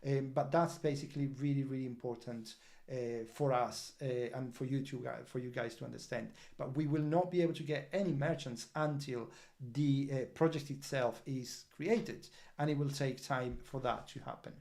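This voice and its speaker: A man who is not a native English speaker hosts a crypto project livestream update, answering viewer comments in an earnest, halting way with many fillers and restarts. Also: mid-range voice, creaky, darkish tone. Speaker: crypto project team member hosting an update.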